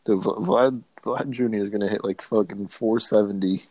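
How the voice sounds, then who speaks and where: A man's voice: fairly low pitch, fairly even intonation, moderate pace, a little mellow, no room sound, low-quality recording. A young man co-hosting a podcast in an easygoing way, often stumbling over his words. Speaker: podcast co-host. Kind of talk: casual chat.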